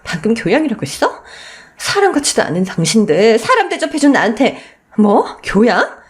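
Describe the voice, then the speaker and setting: A woman's voice: fairly high pitch, very animated, normal speed. A woman playing a defiant, fed-up middle-aged wife and protective mother, scolding in a radio story. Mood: furious.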